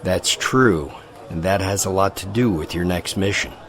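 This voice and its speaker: A commanding army general with a very deep voice, measured and authoritative in an audio drama. Faint noise lies beneath. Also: male voice, a bit bright.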